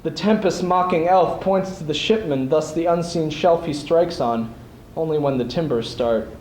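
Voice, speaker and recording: A man's voice: fairly high pitch, moderate pace, a little mellow, a little hiss or hum. A young man giving a theatrical, declamatory recitation of poetry as a student speech competitor. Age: young adult. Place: school event stage before an audience. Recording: echoey hall.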